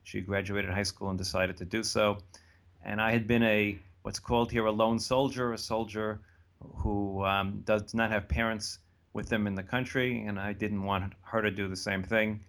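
An American man around seventy, answering interview questions in a relaxed, thoughtful way that wanders and restarts. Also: on the low side, moderate pace, gravelly. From United States. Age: about 70. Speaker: interview guest. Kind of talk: casual conversational interview.

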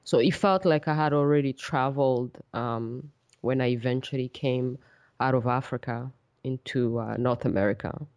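A woman with a Rwandan accent and a notably low, resonant voice, recorded close and dry. She speaks as an interview guest, reflecting on her own life. Her delivery is thoughtful and halting, often searching for the right word. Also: darkish tone, no echo. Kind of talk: reflective personal storytelling in an interview.

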